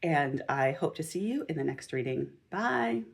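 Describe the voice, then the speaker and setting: A woman hosting a casual, chatty tarot reading in a gentle, encouraging and informal manner, with a slightly husky voice. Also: low voice, animated, moderate pace. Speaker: tarot reader and channel host.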